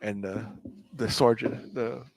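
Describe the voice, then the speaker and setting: A man telling stories casually on a podcast, often halting and searching for his words. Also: mid-range voice, fairly even intonation, gravelly, noisy background. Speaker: podcast co-host. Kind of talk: casual storytelling on a live podcast.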